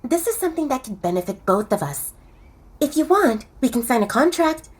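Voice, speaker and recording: A woman's voice: sits high, big pitch swings, fairly quick, slightly rough, full character voice. A woman voicing a blunt, confident young she-wolf fashion model in an audio drama, speaking directly and persuasively.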